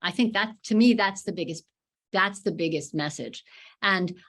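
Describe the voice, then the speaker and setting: A woman's voice: lowish voice, normal speed, a bit bright. A woman giving thoughtful interview answers, often hesitating as she searches for words. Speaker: documentary filmmaker being interviewed.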